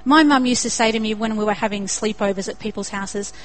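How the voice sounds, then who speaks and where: A woman's voice: medium pitch, fairly quick. A young woman with an Australian accent preaching a sermon in an earnest way, building to emphatic repetition.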